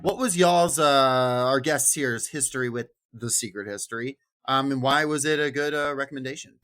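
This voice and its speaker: A man's voice: medium pitch, moderate pace, fairly bright tone. A man co-hosting a podcast in casual chat, laid-back and quippy. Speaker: podcast co-host.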